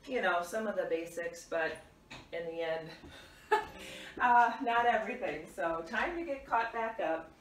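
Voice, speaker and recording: A woman's voice: mid-range voice, animated, unhurried. A middle-aged woman chatting casually and off the cuff as she moves around a home kitchen doing chores, like a vlogger. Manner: unscripted, talks while moving about doing chores. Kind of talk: casual chat while tidying. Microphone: camera a few meters away. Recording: roomy, distant mic, clattering dish noise. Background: dishes clattering, clicks.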